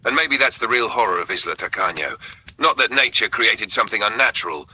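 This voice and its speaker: A man narrating a scripted horror story in a suspenseful manner, breaking it into short phrases with dramatic pauses. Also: lowish voice, expressive, poor audio.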